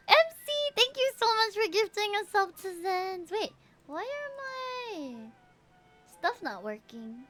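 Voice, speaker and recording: A woman livestreaming a game and chatting with viewers in an animated, emphatic, gushing way, with music behind her. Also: extremely high pitch, takes it slowly. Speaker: game streamer. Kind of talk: livestream chat reacting to a game.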